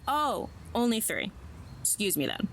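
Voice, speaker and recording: A woman's voice: medium pitch, wildly swooping pitch, measured pace, fairly bright tone, a little hiss or hum, full character voice. A woman playing a wry, awkward teenage schoolgirl in an audio drama, talking in a dry, casual way. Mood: wry, deadpan. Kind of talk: naturalistic audio drama acting.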